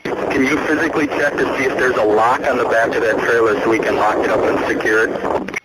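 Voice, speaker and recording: A man's voice: mid-range voice, brisk. A man speaking as a police officer in terse radio transmissions. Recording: very noisy archived police radio traffic.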